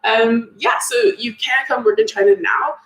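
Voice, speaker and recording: Chatty young woman with lively, creaky voice. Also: on the high side, normal speed, soft background music. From Maine, USA. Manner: chatty, many ums, upbeat. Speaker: teacher recruiter hosting a live Q&A. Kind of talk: casual livestream chat answering comments. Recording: echoey room.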